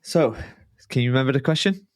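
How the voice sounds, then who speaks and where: A man's voice: medium pitch, expressive, a little fast, no room sound, clean studio sound. A man hosting a podcast interview, chatting casually in a friendly, hesitant way with fillers and half-started phrases.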